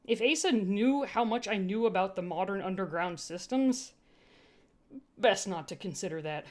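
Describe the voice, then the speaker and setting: A woman's voice: medium pitch, expressive, moderate pace. A woman reading first-person fiction expressively as an amateur narrator, with an informal manner and casual asides. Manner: informal, breaks off to laugh and comment.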